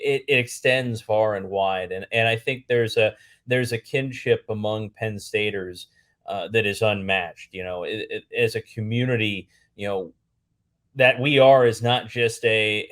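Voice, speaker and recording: A man from Pennsylvania with a lowish voice speaks as a podcast guest. His delivery is earnest and conversational, building up lists and returning to key phrases. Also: fairly even intonation, measured pace, fairly bright tone. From Pennsylvania, United States. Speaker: public address announcer and podcast guest. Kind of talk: conversational interview answer.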